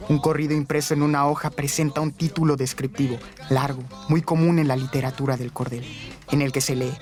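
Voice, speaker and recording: Male radio narrator, flat intonation, scripted documentary read with music bed. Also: medium pitch, brisk.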